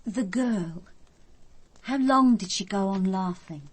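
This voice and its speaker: An actress playing a brisk, keen junior police detective with a direct manner in a radio drama. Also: female voice, medium pitch, slightly rough. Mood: questioning. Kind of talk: radio drama acting and narration.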